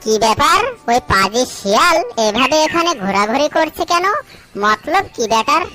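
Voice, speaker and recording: A very high-voiced mother duck from a children's cartoon, acted out by a woman. She is trusting and anxious, with a plaintive, exclamatory way of talking. Music plays behind her voice. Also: animated, moderate pace. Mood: curious, suspicious. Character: distressed mother duck, trusting and worried about eggs.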